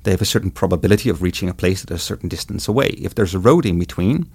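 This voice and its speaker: A middle-aged man with a Dutch accent, giving measured, explanatory interview answers with some hesitations. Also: deep voice, animated, a little mellow, husky, no room sound. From Netherlands. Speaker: guest road ecologist.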